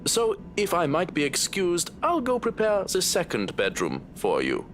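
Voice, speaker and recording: A man playing an unhurried, polite but quietly defiant butler in a visual novel game, formal and dryly impertinent. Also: wildly swooping pitch, heavily acted. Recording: low rumble, faint noise.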